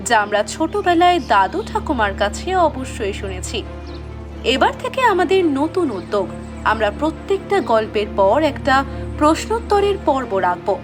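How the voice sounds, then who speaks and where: A woman narrating a scripted fairy tale as a storyteller. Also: sits high.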